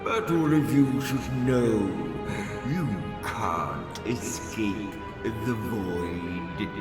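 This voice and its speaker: A man's voice: fairly low pitch, expressive, drawn-out words. A man playing a gloating, sadistic trickster villain in an audio drama, taunting and theatrical. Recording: echoey reverberant hall, distant, music behind.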